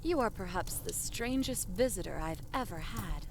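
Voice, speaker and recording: A woman playing a gentle otherworldly young maiden in an amateur audio drama, exclaiming with concern and astonishment. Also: medium pitch, lively intonation, normal speed, heavy background noise, lightly acted. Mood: wry.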